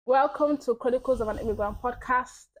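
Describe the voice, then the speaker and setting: A woman's voice: fairly high pitch, brisk, faint music behind, poor audio. A woman hosting a casual podcast, chatty and informal, laughing easily with her guest. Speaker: podcast host.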